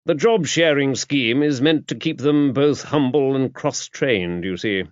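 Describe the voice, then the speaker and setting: A man's voice: mid-range voice, a little slow, no room sound, pitched above the performer's own voice, close to an everyday voice. A man voicing an earnest, talkative English bishop in an audiobook, formal and emphatic. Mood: explanatory, animated. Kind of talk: audiobook character dialogue. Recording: dull, muffled lo-fi audio.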